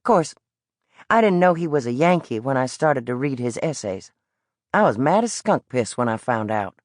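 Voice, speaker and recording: A woman's voice: extremely low pitch, big pitch swings, normal speed, no echo. A woman voicing a scrappy, defiant young boy with a thick American Southern drawl, proud and prickly, in a dry studio read. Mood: prideful. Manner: proud and prickly, formal words.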